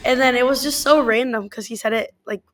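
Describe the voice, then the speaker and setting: A woman's voice: fairly high pitch, a little fast, a bit bright, a little hiss or hum. A woman whose first language is Spanish, an athletic trainer answering interview questions in an earnest, upbeat way.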